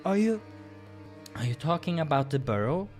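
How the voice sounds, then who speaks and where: A man's voice: very animated, normal speed. A man playing a young, budding adventurer in a visual novel, nervous yet plucky, with a flustered and earnest manner. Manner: flustered, earnest, higher-voiced. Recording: music behind the voice.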